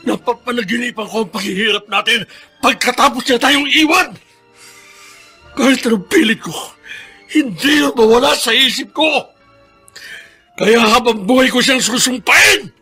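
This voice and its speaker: A man's voice: very high voice, moderate pace, pauses often, pushed and bright, husky, soft background music, full character voice. An actor playing a bitter, hot-tempered middle-aged Filipino man who scolds and snaps in a radio drama. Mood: bitter, anguished. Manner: scolding, snapping.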